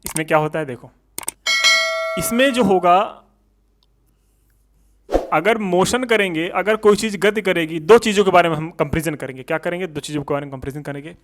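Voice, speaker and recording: A man's voice: sits high, fairly quick, pauses often. A man lecturing as an exam coaching teacher, repeating points as rhetorical questions and mixing in English technical terms. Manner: switches between Hindi and English technical terms.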